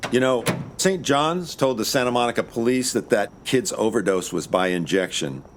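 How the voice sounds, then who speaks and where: An actor playing a laid-back, wry American lawyer and amateur sleuth in an audio drama, talking in a casual, understated way. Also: male voice, mid-range voice, lively intonation, normal speed, a little hiss or hum, natural voice. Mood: thoughtful, skeptical. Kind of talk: audio drama dialogue and narration.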